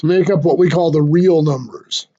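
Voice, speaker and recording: A man teaches a math class, walking through each idea step by step with small fillers and restarts. His voice sits high and sounds hoarse, with frequent throat clearing as he loses it. The recording is dull and lo-fi. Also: normal speed, mellow.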